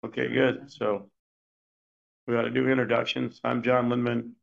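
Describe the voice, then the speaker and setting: A hoarse man with little voice, chairing a board meeting briskly. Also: medium pitch, monotone. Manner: brisk and procedural. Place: meeting room. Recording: dull lo-fi meeting recording.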